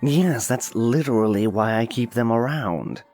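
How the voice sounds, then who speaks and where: Man acting cynical, aloof lord, animated intonation. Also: medium pitch, unhurried, faint music behind. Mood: dry, dismissive. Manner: dry, condescending, unruffled. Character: cynical, aloof male demon-keeping lord and mind mage. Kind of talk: dramatized fantasy audiobook acting.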